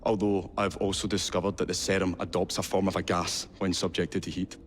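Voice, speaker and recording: An actor playing a courteous, earnest Victorian inventor in a video game, with formal, precise speech. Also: male voice, low-pitched, little pitch movement, a little fast, long reverb tail, slight background noise, close to an everyday voice. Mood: earnest, admiring. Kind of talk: video game cutscene acting. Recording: music under the voice.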